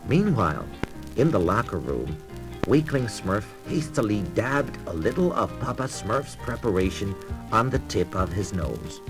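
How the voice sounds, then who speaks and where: A man's voice: on the low side, very animated, measured pace, low-quality recording. A man reading scripted narration for a children's read-along storybook record. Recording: children's record with music behind. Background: music.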